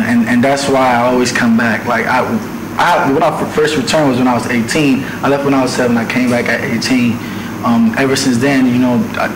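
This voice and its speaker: A young man from Nigeria with an American accent, a musician guest chatting casually in an interview, laid-back and slangy, often tagging his sentences with "man.". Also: medium pitch. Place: studio. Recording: roomy studio with background noise.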